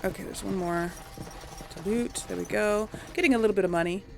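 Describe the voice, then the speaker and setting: A woman's voice: mid-range voice, fairly quick, creaky, noisy background. A woman giving casual gameplay commentary, thinking aloud to viewers and often trailing off. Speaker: let's play host.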